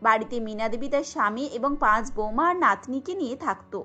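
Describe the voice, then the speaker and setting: A woman's voice: on the high side, animated, brisk, sharp tone, highs cut off, faint music behind. A woman giving scripted narration for a cartoon story.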